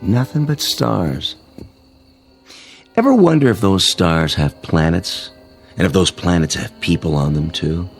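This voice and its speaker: A man acting in a radio drama as a sly, suspicious coworker who talks in an insinuating, deliberate, falsely casual way. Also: deep voice, sing-song, vocal fry, full character voice. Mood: pointedly casual. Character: sly, suspicious male coworker probing his neighbours with veiled menace.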